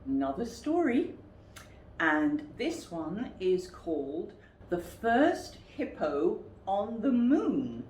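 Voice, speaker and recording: A woman reading a picture book aloud to children in an expressive, deliberate way. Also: medium pitch. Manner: expressive, deliberate, asks listeners questions. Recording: roomy, distant, noisy recording.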